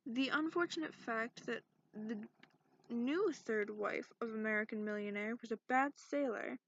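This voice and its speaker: A woman playing a sarcastic, cranky dragon in a soft-spoken ASMR bedtime reading, recorded close up in lo-fi. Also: on the high side. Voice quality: creaky. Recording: dull lo-fi close dry recording.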